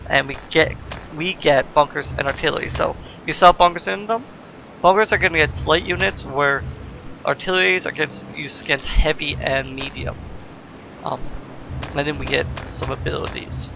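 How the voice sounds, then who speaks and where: A man's voice: a little high, normal speed, gravelly, bright, forceful tone, slight background noise, rough recording. A man giving casual commentary as the host of a gameplay video. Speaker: let's play host. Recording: game music behind the voice. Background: video game music.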